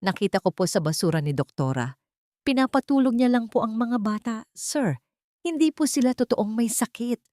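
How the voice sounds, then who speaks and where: Lady doing a scared loyal maid in an audio drama, begging, hurried, higher voice. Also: expressive, dark tone, no echo, studio quality, natural voice. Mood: fearful pleading. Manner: hurried, broken, pleading. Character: loyal, frightened housemaid pleading her innocence.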